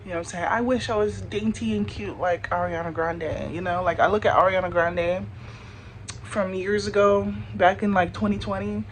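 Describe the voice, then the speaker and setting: A young woman with a lowish voice talks straight into her phone, casual and open, full of "like" and "you know." Her pitch sits mid-range for a woman. A faint hiss of room noise runs under her voice. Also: steady tone, normal speed. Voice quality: deeper voice for a woman. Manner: chatty, candid, many fillers like "like" and "you know". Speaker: vlogger. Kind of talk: casual talk to camera. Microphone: phone camera.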